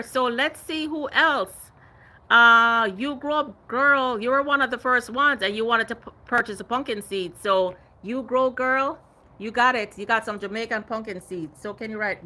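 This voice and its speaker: A woman's voice: on the high side, bright, forceful tone. A woman in her fifties hosting a casual gardening livestream, chatting animatedly and reading out viewer comments. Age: 50s. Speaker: gardening channel host.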